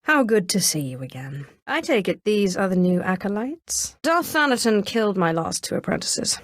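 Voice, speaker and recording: A woman's voice: medium pitch, big pitch swings, moderate pace, dry recording, studio quality, lightly acted. A woman voicing a ruthless, sardonic Sith sorceress in a video game, haughty, cool and ambitious. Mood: dry sarcasm. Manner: haughty, cool, dryly sarcastic. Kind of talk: scripted video game voice acting.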